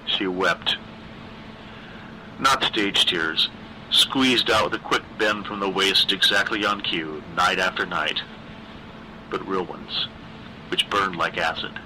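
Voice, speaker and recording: Male horror audiobook reader. Slightly low, very bright, tense tone, deliberate dramatic pacing, faint background noise. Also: poor audio. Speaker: story narrator, reader. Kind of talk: scripted narration of a dark horror story.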